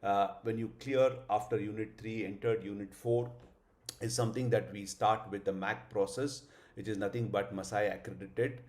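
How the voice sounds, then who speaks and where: A man's voice: lowish voice, steady tone, normal speed, a little mellow. A middle-aged man giving a live online class, earnest, with many hesitant fillers and rhetorical questions. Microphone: video call.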